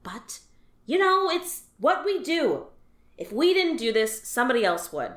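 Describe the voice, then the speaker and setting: A woman's voice: high voice, very animated, a little slow. A woman voicing a jaded female alien office worker who talks about dull work in a dry, resigned, matter-of-fact way. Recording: roomy echo.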